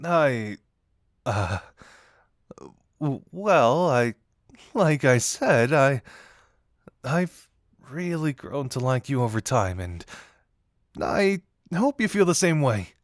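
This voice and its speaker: A man plays a flirtatious male detective in a romantic audio roleplay, confessing as a secret admirer. His delivery is lively and swooping, teasing but earnest, with shy hesitations. The recording is close and dry. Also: medium pitch, slow, pauses often, no echo.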